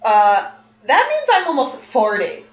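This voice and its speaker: A woman's voice: high-pitched, huge pitch swings, a little slow, reverberant, highs cut off. A woman in her thirties chatting casually as a podcast co-host, blunt, swearing freely and laughing often. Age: 30s. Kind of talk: casual chat.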